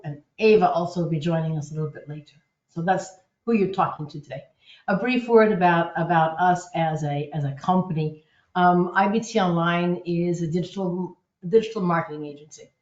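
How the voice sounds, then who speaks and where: A woman's voice: lowish voice, darkish tone, echoey room, dull audio. A woman presenting a live webinar in an upbeat, enthusiastic, loosely conversational way. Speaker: webinar presenter, agency content officer.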